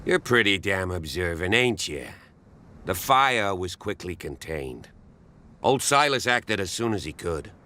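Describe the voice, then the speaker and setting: A gruff local policeman in a video game, with a low voice that cuts through brightly and forcefully. He is wary and protective of his townsfolk, speaking in measured, folksy phrases. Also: sing-song, full character voice. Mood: defensive. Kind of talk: scripted game dialogue.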